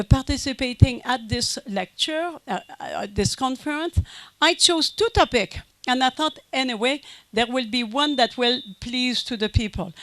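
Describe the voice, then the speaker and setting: A French Canadian woman, a native French speaker, giving a conference lecture in a halting way with many fillers and restarts. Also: high-pitched, no room sound. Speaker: guest speaker.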